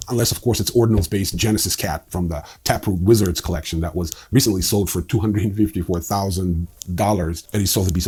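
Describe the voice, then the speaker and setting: A man's voice: sits low, darkish tone, breathy edge. A man hosting a newsreel, reading scripted news in an informal way with wry asides. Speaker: newsreel host. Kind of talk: scripted crypto news narration with casual asides.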